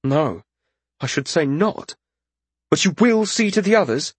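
A man's voice: a little high, animated, unhurried, slightly rough, no echo, voice pushed up. A man voicing a haughty young lord in a fantasy audiobook, with a formal, scolding and fretful manner. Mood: grudging worry. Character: indignant, haughty young lord, male, quick to accuse. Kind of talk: audiobook fantasy character acting.

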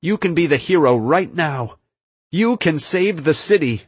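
A man's voice: a little high, expressive, a little slow, breathy edge, dry recording, pitched above the performer's own voice. Man voicing an earnest, urgent boy hero. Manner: earnest, emphatic, urging. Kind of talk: audiobook character acting.

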